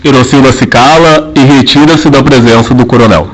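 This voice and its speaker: A man narrating a radio drama in a formal, measured storytelling manner. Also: mid-range voice, a little hiss or hum, poor audio.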